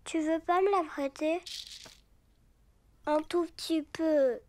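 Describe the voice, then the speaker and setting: A performer voicing a candid little boy bear cub in a preschool cartoon, with a childlike, expressive way of talking. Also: very high-pitched, slow, a little hiss or hum. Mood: negotiating. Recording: music under the voice.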